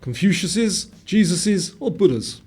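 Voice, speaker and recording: A man delivering scripted quiz narration in a measured, presentational way, setting out questions and answers. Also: sits high, animated, darkish tone, slightly rough. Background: guitar music.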